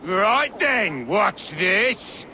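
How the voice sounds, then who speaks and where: A man's voice: high-pitched, big pitch swings, very slow, sharp tone, a little hiss or hum, full character voice. A man playing a belligerent, threatening alehouse brawler in a video game. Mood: menacing. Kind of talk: video game dramatic acting.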